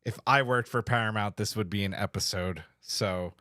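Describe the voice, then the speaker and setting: Clear-voiced man chatting on a podcast. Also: on the low side, moderate pace, bright, forceful tone, no room sound, clean studio sound. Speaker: podcast host. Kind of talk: casual chat.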